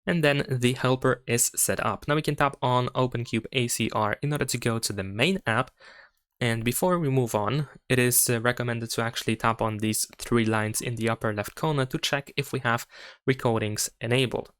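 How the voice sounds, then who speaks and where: Clear-voiced man giving a step-by-step tutorial. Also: mid-range voice, moderate pace, fairly bright tone, dry recording, studio quality. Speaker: tech tutorial presenter. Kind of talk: step-by-step instructional narration.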